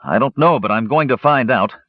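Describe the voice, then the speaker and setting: A man voicing an angry, suspicious young warrior in a fantasy audiobook, curt and gruff. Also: mid-range voice, lively intonation, a little fast, no echo, muffled recording, voice pushed up, natural voice. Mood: irritated. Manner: gruff, curt, growling demands.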